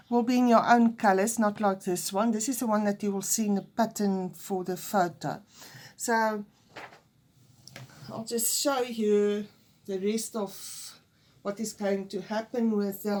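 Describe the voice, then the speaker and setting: Slow-talking Afrikaans-accented woman teaching crochet. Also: mid-range voice, darkish tone. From South Africa. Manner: hesitant and nervous, with fillers and restarts. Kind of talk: unedited crochet lesson.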